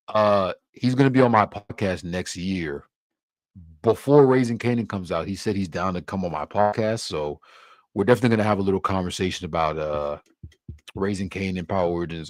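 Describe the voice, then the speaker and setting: A young man from Cameroon talks casually on his livestream, his voice sitting fairly low. His delivery is loose and easygoing, with plenty of restarts. The sound is dry and close, with no room around it. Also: no echo. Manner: laid-back, loose, filler-heavy with frequent restarts. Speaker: livestream host. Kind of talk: casual livestream chat answering comments.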